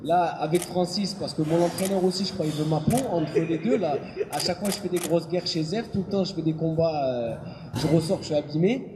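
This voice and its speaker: Male boxer, brash and cocky, a bit high-pitched, hall with audible noise. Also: a little fast. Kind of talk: press conference statement. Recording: long hall reverb with clear background noise.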